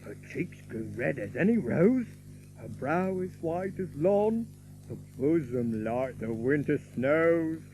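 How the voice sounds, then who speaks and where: An actor playing a merry young outlaw who recites an old romantic ballad in lilting, theatrical archaic verse. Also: male voice, a little high, big pitch swings, takes it slowly, warm tone, faint music behind, low-quality recording. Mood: lilting storytelling.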